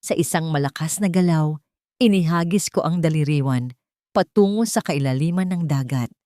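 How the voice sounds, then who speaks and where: A woman narrating a scripted first-person romance story in a measured, dramatic and sentimental way. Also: low-pitched, dark tone, dry recording, studio quality.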